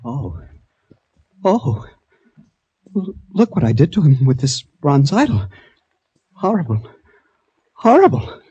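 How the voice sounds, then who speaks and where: An actor playing a polite, nervous young tourist in a radio drama, hesitant and well-mannered, on a lo-fi recording. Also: male voice, fairly high pitch, sing-song, slow pace, long pauses, dark tone, breathy edge, exaggerated voice. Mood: shaken, horrified. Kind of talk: radio drama acting, with first-person narration. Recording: dull, lo-fi old radio recording.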